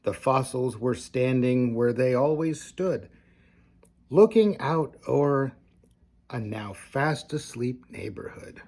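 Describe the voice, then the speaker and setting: An older man reading a children's storybook aloud like a grandfather, with expressive, deliberate phrasing and casual asides. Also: mid-range voice.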